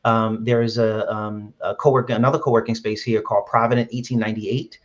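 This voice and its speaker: A man's voice: lowish voice, level tone, fairly quick. A man from Brooklyn, an app founder, answering interview questions earnestly with many fillers and restarts. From Brooklyn, New York. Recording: dull lo-fi sound.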